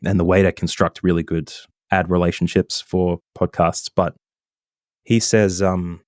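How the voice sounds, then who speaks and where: Deep-voiced man, mild Australian accent. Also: unhurried, no room sound, clean studio sound. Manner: relaxed and chatty, with many ums and self-corrections. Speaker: podcast guest. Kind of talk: casual interview chat.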